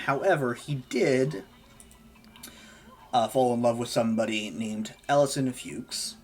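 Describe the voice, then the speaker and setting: Man, hoarse, casual game commentary, rambling, filler-heavy, mid-pitched. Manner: rambling, many fillers and self-corrections. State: losing his voice. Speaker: gaming YouTuber.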